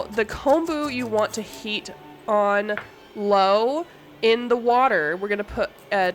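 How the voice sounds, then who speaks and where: A woman hosting a cooking video in a casual, chatty way. She sounds congested from allergies. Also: mid-range voice. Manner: chatty, relaxed, talks while cooking. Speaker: cooking channel host. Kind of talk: casual cooking vlog narration.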